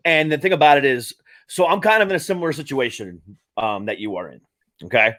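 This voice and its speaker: A man's voice: medium pitch, animated, fairly quick, a bit bright. A man in his late thirties hosting a podcast, talking casually in a rambling way with many fillers and restarts. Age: 30s. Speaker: podcast host. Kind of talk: casual interview chat.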